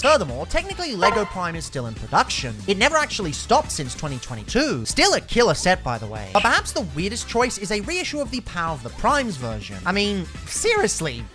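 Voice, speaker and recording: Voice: mid-range voice, wildly swooping pitch, brisk, hardly any pauses, pushed and bright, faint music behind. A toy review host giving scripted video commentary in a sardonic, emphatic, exasperated way.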